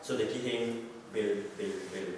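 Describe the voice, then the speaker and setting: A Kenyan man, a Swahili speaker and software developer, talking haltingly as an interview guest and searching for words. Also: lowish voice, fairly even intonation. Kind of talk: casual interview chat. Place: at a hackathon venue. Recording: echoey hall from far off, noisy.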